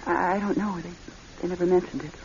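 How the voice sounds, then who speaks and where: An actress playing an anxious, loving wife in a radio drama, pleading and tender with halting speech. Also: female voice, on the low side, fairly even intonation, darkish tone, close to an everyday voice. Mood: hesitant, concerned. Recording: muffled, hissy old radio recording.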